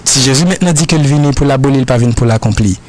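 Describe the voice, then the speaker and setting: A man's voice: medium pitch, darkish tone. Earnest Haitian man answering questions. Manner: earnest, repetitive, halting phrasing.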